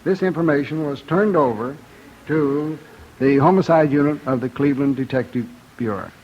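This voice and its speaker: Higher-voiced man, clinical and formal. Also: moderate pace, a little hiss or hum. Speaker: medical examiner. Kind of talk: formal reading of medical findings. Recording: dull old radio recording.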